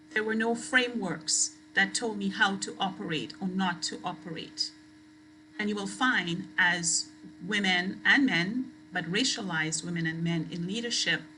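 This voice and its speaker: A woman from Trinidad and Tobago, giving an academic lecture as a guest speaker in a deliberate, emphatic way. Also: medium pitch, sharp tone, a little hiss or hum, rough recording.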